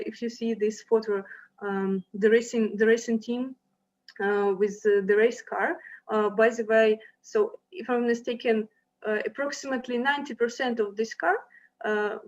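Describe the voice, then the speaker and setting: A young woman from Ukraine gives an online slide presentation to prospective students, her fairly high voice stopping often for fillers and restarts. She sounds like she is speaking into a computer mic on a video call. The audio is dull and lo-fi. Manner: hesitant, many fillers and restarts. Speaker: university representative presenting to applicants.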